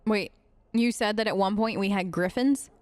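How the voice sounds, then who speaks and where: A woman hosts a game playthrough, speaking as her character in a relaxed, conversational style. Her voice sits at a middle pitch. The sound is close and dry, with little room around it. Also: normal speed, dry recording. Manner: chatty, conversational. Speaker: gaming video host. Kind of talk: casual in-character role-play of game dialogue.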